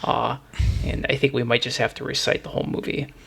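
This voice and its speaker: A man's voice: medium pitch, level tone, brisk, vocal fry, fairly bright tone, husky. A middle-aged man hosting a music podcast, talking in a conversational, enthusiastic and wordy storytelling way. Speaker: podcast host. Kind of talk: music podcast talk, retrospective commentary.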